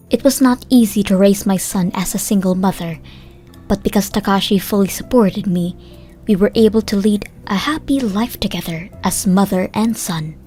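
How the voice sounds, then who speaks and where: A woman's voice: medium pitch, a little mellow. A woman giving scripted first-person narration of a story as a voice actor. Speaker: narrator and voice actor in a manga dub. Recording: music bed under the voice. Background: background music.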